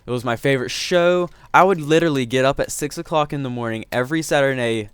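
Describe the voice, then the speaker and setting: A man's voice: medium pitch, quick pace, smooth, no echo. Chatty man with strained voice. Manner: rambling, self-correcting, chatty. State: voice strained from illness. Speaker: gaming commentator. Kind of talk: casual let's-play commentary.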